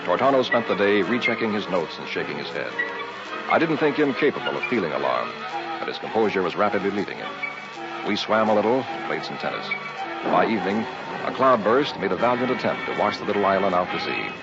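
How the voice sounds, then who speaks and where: An actor playing a weekend guest who narrates a suspense tale in measured, dramatic old-time radio style. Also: male voice, low-pitched, sing-song. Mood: brooding. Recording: muffled vintage radio with loud music.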